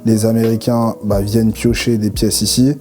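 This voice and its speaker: A young man talking in a relaxed, slangy way about his work, stopping often to restart his sentences. His voice is mellow and soft-edged, with faint music playing under it. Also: lowish voice, moderate pace. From Ghana and Nigeria heritage, raised in France. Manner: relaxed, humble, slangy French with many fillers and restarts. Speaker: stylist, guest. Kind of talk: casual interview chat. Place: barbershop.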